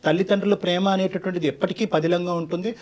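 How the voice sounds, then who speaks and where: A man's voice: sits high, fairly even intonation, racing, darkish tone. A man giving earnest, emphatic counselling advice at length on a talk show, mixing in English phrases. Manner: emphatic, long-winded, mixes in English phrases.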